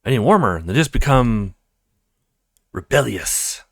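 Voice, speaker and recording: A man's voice: medium pitch, very animated, unhurried, no echo, clean studio sound. A man voicing a shy, quirky, earnest young female student in a visual novel. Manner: timid, earnest. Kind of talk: visual novel character reading.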